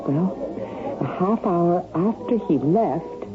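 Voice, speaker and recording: An actress playing an anxious, affectionate wife with a secret in a radio drama, speaking dramatically and often repeating herself. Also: female voice, lowish voice, sing-song, slow pace, over music. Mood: anxious, pleading. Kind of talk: old-time radio drama acting. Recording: narrow-band, noisy old radio broadcast.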